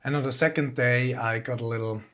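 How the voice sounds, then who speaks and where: A man's voice: mid-range voice, steady tone, brisk, a bit bright. A man with a Swiss accent giving an informal online talk as a composer, explaining things in a plain-spoken way. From Switzerland.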